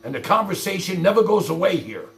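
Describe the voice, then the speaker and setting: A man's voice: high-pitched, sing-song, fast talker, vocal fry, fairly bright tone, a little hiss or hum. A man hosting a livestream, ranting at his viewers in a profane, confrontational, exasperated way with blunt rhetorical questions. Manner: addresses viewers directly with repeated rhetorical questions. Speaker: livestream host.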